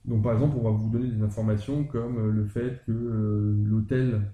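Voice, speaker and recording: A man's voice: lowish voice, fairly even intonation, normal speed, reverberant, phone-quality sound. A man co-hosting a podcast, talking casually and hesitantly, with many fillers and restarts. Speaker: podcast co-host discussing a roleplaying campaign.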